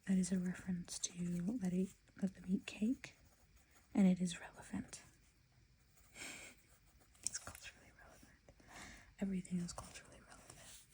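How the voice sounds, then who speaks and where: Softly whispering woman designer. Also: on the low side, flat delivery, normal speed, long pauses. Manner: soft whisper, chatty and self-talking. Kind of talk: whispered casual chat while sketching designs.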